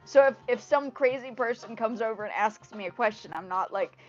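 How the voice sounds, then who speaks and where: High-voiced woman livestreaming, native Indonesian speaker. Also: fairly quick, soft background music. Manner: rambling, repeats phrases, animated asides. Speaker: livestream host. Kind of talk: casual livestream chat with viewers. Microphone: earpiece mic on a phone. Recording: dull lo-fi livestream audio through earpiece mic.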